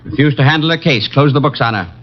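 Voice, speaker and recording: An actor playing an abrupt, imperious and worried client in an old-time radio detective drama. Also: male voice, medium pitch, fast, natural voice. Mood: impatient, nervy. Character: brusque, impatient, rude, worried male client. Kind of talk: 1940s-50s radio drama acting. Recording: dull vintage radio recording.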